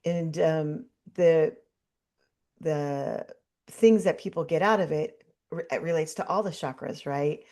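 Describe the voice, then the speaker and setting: Casting: deep-voiced woman podcast host, curious, thinks aloud with ums. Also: slow, warm tone. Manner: curious, musing with many ums. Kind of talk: casual interview talk.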